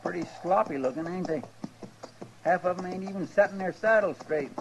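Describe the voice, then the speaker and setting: A man's voice: sits high, fairly quick. A man playing a folksy, talkative, simple frontier sidekick with a rural American drawl in a 1950s radio western. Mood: curious, sympathetic. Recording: noisy old radio recording.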